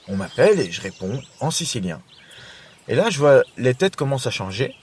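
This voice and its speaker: A man's voice: medium pitch, sing-song, moderate pace, darkish tone, slight background noise. A man giving an informal self-help monologue, chatting to the listener and repeating his phrases. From Belgium. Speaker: podcast host.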